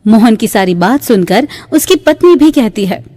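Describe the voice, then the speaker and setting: A woman's voice: on the high side, animated, moderate pace, mellow. A woman narrating a scripted moral tale for a cartoon in a storytelling style. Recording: long reverb.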